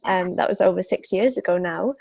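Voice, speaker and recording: A woman's voice: fairly low pitch, a little fast, vocal fry, darkish tone, slightly rough, dry recording, lo-fi sound. A young woman from South Wales with a Welsh accent, answering interview questions as a fitness instructor, thoughtful and full of fillers and restarts.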